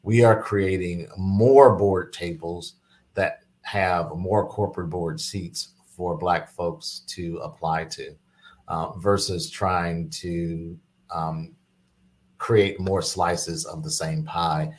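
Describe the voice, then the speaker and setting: Deep-voiced man in a business interview. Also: fairly even intonation, slow pace. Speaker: interview guest, business executive. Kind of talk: conversational business pitch.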